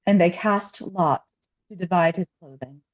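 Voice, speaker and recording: A woman's voice: fairly low pitch, measured pace. Solemn woman reading scripture, slightly muffled recording. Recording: slightly dull, lo-fi sound.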